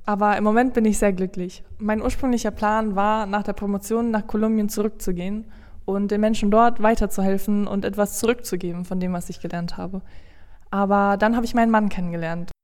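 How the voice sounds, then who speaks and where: A woman's voice: medium pitch, fairly even intonation, fairly quick, a little mellow. Colombian-born woman in echoing room. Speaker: interviewee, lawyer. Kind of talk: read interview answers.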